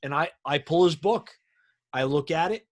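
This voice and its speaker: A man's voice: fairly high pitch, normal speed. A middle-aged man in casual conversation, talking in an informal, plain-spoken way. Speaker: firefighter on a radio show. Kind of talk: casual chat.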